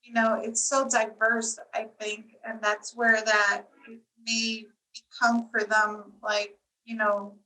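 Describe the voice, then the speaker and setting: A woman's voice: on the high side, completely flat delivery, slow pace, sharp tone, distant mic, poor audio. A woman from Costa Rica who owns a tourism marketing agency, answering interview questions in a chatty way with lots of "you know.".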